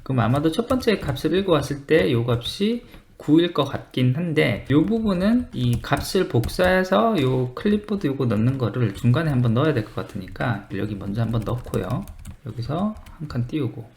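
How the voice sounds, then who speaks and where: A screencast tutor with a mid-pitched voice and animated intonation. The delivery is methodical and explanatory, thinking aloud through each step while working at a computer. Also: brisk, vocal fry. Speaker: software tutorial presenter.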